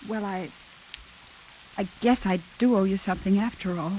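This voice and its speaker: An actress playing a guarded, brave female hostage in 1940s radio noir style, speaking earnestly with hesitant pauses. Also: mid-range voice, dark tone, close to an everyday voice, rough recording. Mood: guarded, subdued. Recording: hissy 1940s radio recording, rain behind.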